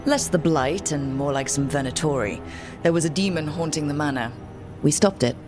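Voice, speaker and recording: An actress playing a wry, defiant adventurer heroine in a video game, with a dry, determined manner. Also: female voice, sits low, normal speed, lightly acted. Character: wry, defiant young female adventurer hero. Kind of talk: dramatic video game cutscene acting. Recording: clear music behind the voice.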